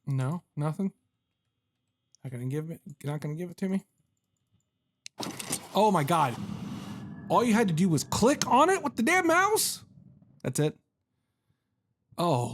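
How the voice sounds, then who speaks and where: Male gaming host, mid-pitched, casual, thinking out loud with self-deprecating asides. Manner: self-deprecating, talks to himself while puzzling. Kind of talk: casual let's-play commentary.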